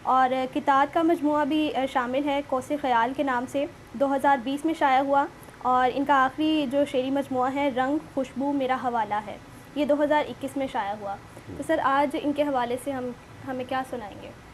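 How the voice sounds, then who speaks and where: A woman's voice: sits high, steady tone, moderate pace, poor audio. A woman with a Pakistani accent hosting a literary show in a polite, formal presenting style. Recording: clear background noise.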